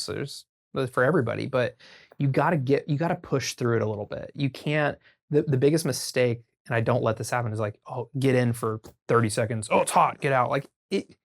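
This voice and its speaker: An American man chatting casually as a podcast guest, telling stories informally and haltingly as he thinks aloud. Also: medium pitch, gravelly. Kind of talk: casual conversational storytelling.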